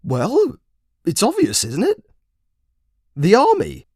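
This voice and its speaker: Whimsical old fantasy wizard, high voice, scatterbrained and pompous, for audio storytelling. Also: male voice, wildly swooping pitch, vocal fry, darkish tone, husky, no room sound, clean studio sound, full character voice. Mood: airily grandiose. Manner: whimsical, rambling, theatrical pauses. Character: scatterbrained, smug, shaggy old male wizard.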